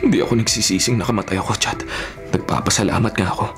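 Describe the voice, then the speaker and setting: An actor playing a vengeful, unrepentant young son in a Filipino radio drama, intense, bitter and resolute. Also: male voice, fairly low pitch, rapid-fire, music in the background, lightly acted. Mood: defiant.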